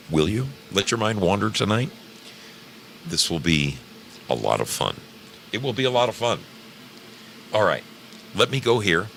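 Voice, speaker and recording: Male radio host, gravelly and rock-bottom low, slow-paced casual monologue with theatrical stretched emphasis, faint noise behind. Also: sharp tone. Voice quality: deep, gravelly.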